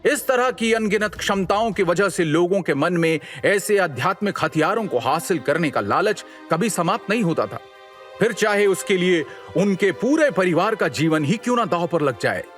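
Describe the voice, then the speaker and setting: A man's voice: high voice, lively intonation, moderate pace, a bit bright. A man giving scripted dramatic narration as the storyteller of an audio series. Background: background music.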